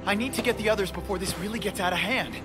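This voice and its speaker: An actor playing a young superhero in a video game, quippy in a fight and earnest and protective otherwise. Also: male voice, high-pitched, quick pace, close to an everyday voice. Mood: earnest, concerned. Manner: cocky quips in action, earnest in talk. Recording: loud music behind the voice. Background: loud game music.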